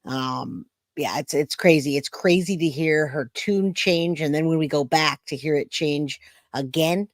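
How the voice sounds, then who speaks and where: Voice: medium pitch, a little slow, no echo. Casual livestream host with a dry, close sound. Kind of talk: casual livestream commentary to viewers.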